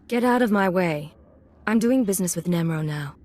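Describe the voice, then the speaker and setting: A confident undercover agent in a video game. The voice sits on the low side, cool and composed, with a guarded, sly edge. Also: female voice, expressive, moderate pace, darkish tone. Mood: curt, cool. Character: cool, confident female secret agent posing as mercenary.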